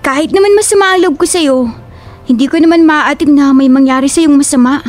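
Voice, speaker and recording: A headstrong young woman played by a woman, fiercely loyal and teasing. Her voice is high and bright with youth, with faint music underneath. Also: a little fast, natural voice. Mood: caring. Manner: playful, headstrong, affectionate. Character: stubborn, devoted young woman.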